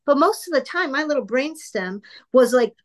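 A woman's voice: sits high, expressive, moderate pace. A woman in her fifties giving an earnest, candid, self-deprecating recovery share to a group over an online call from her computer. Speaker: speaker sharing at a twelve-step meeting.

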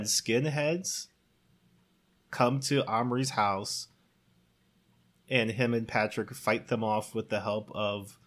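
A man in casual conversation, recounting a story in a halting, rambling way with lots of "like". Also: lowish voice, a bit bright. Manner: rambling, halting, heavy use of "like". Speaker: podcast co-host. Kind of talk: casual chat, recounting a plot.

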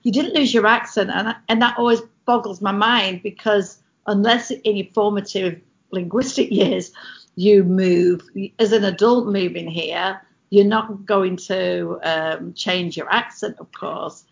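A middle-aged English woman, a former cruise ship entertainer, telling lively stories in casual chat. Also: mid-range voice, unhurried, creaky, muffled recording. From England. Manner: lively storyteller, animated quoted voices.

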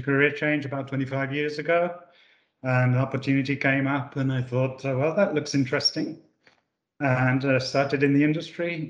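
A man's voice: medium pitch, echoey room, muffled recording. A man from the UK, a managing director answering interview questions with many fillers and restarts. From United Kingdom. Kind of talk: corporate podcast interview.

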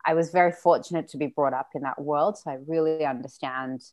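A woman's voice: deep voice, steady tone, a little fast, darkish tone. A young adult woman, a business founder, talking earnestly as an interview guest, laughing often and swearing now and then. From Australia. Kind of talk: casual interview chat.

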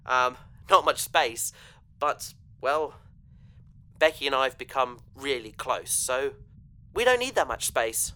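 A man's voice: medium pitch, animated, slow, pushed and bright. A man playing an earnest, hesitant young space adventurer in an audio drama. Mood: earnest, hopeful.

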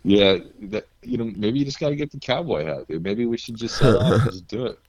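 A deep-voiced man from New Jersey, a guest musician chatting casually and telling stories with easy laughs. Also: animated, a little fast, darkish tone, slightly rough, no echo. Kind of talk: casual interview chat.

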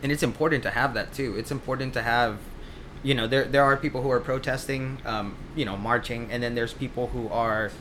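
Relaxed guy interviewing, faint hiss behind him. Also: medium pitch. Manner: easygoing, quick to affirm. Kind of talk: casual interview.

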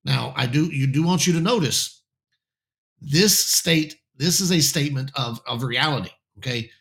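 A man of middling pitch teaches scripture on air, his voice slightly raspy and hoarse. He talks in halting bursts, repeating words as he restarts, and often checks in with a quick "okay?". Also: fairly bright tone. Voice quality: raspy voice in the morning. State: slightly hoarse, clearing throat. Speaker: Bible teacher. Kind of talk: verse-by-verse Bible teaching on air.

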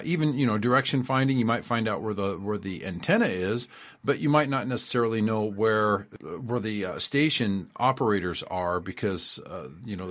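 A man hosts a radio talk show in an everyday mid-pitched voice. He rambles loosely, reads out quotes, and leans on fillers and restarts as he goes. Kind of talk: casual spoken commentary.

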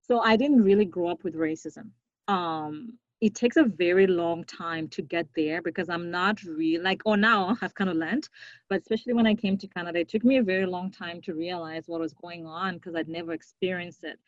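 A young woman from Zimbabwe, a podcast guest, speaking earnestly and reflectively, now and then with a soft laugh. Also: lowish voice, steady tone, a little fast, dry recording, dull audio. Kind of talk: conversational interview.

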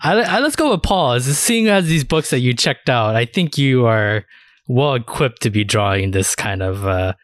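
A man playing a young, easygoing college librarian in an improvised comedy sketch, with a halting, bemused manner. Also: mid-range voice, expressive, a bit bright, dry recording, lightly acted. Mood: friendly, indulgent. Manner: halting, repeats words, bemused.

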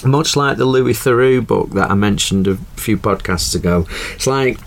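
A man's voice: lowish voice, normal speed, vocal fry. A man co-hosting a podcast, chatting with enthusiasm and laughing easily. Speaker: podcast co-host. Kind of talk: casual chat.

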